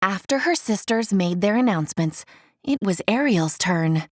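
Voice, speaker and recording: A woman reading a scripted children's read-along story in an expressive storytelling manner. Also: mid-range voice, normal speed, no room sound, studio quality. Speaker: storybook reader.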